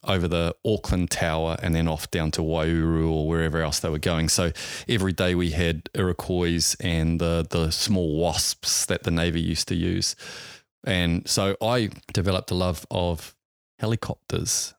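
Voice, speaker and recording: A New man in his fifties with a very low, deep voice, recalling his past in a loose, rambling manner. He talks casually as a podcast guest, pausing often on um and uh. The sound is close and dry. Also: flat delivery, clear, resonant tone, no echo. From New Zealand. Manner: rambling, halting, heavy with um and uh. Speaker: guest, helicopter pilot. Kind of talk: casual interview storytelling.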